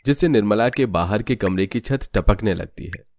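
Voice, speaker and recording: A man's voice: fairly low pitch, expressive, normal speed, darkish tone, faint music behind. A man narrating a scripted cartoon story.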